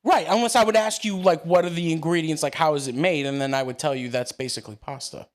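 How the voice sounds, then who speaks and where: A man's voice: on the high side, fast. An Italian-American man co-hosting a casual chat, argumentative, swearing casually and laughing often. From United States. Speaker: podcast co-host.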